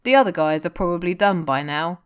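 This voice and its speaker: Woman doing a teasing rock singer bloke from a story, blunt but caring, lifted pitch. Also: animated, a little fast, clean tone, lightly acted. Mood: bossy, caring. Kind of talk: audiobook-style character dialogue.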